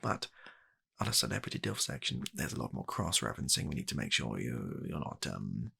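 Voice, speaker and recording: A British man softly playing a kind, polite matchmaker in an ASMR roleplay, hesitant and courteous, with a breathy, gravelly voice close to the microphone. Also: a bass voice, sing-song, brisk, heavily acted. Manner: hesitant, courteous, reassuring salesman patter. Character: kind, polite British gentleman running a dating agency. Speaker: ASMR performer.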